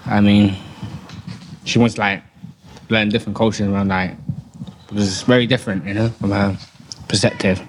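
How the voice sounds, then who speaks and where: A man's voice: lowish voice, level tone, background noise. A nineteen-year-old man from the UK, a podcast guest in a casual group discussion, speaking hesitantly and leaning heavily on "like". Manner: hesitant, halting, leans heavily on "like".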